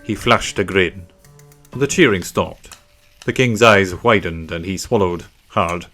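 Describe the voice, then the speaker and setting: A man's voice: deep voice, unhurried, bright, forceful tone. A man narrating a children's story from a script. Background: faint music.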